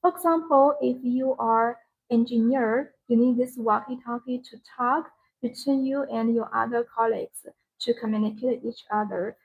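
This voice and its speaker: A woman with a high-pitched, creaky voice gives a live sales demonstration. She speaks English as a second language, in simple phrases that she often repeats. Her tone has a husky edge. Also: fairly even intonation, normal speed, narrow, tinny audio. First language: not English. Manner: repetitive, simple non-native phrasing. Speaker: live-stream sales presenter.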